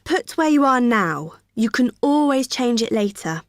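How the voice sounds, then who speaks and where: An actress playing a friendly student union receptionist who explains a form patiently in a scripted exam dialogue. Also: female voice, fairly high pitch, lively intonation, unhurried, no echo, clean studio sound. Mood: helpful. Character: cheerful young female union helper.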